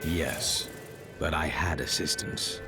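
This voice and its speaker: A man's voice: a bass voice, slow pace, over music. A man playing a grave, commanding warrior hero in a video game, speaking tersely and formally. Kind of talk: video game cutscene acting.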